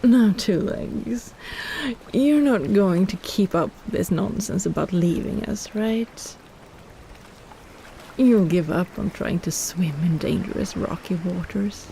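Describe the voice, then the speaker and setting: Woman acting a slow, purring, possessive kraken big sister. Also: mid-range voice, creaky, mellow, slight background noise. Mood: coaxing, possessive. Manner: teasing, cooing, doting, bossy. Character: possessive, teasing, overpowering female kraken, big-sister type. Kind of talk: roleplay audio drama, ASMR.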